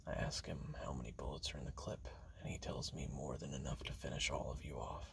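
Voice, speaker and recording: A man playing a treacherous assassin in an audio roleplay, narrating in the second person with slow, hushed, intimate delivery. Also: rumbling low, fairly even intonation, heavily acted. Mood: cold, menacing. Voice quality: breathy whispering with gravelly fry. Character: treacherous male assassin recounting his betrayal to you.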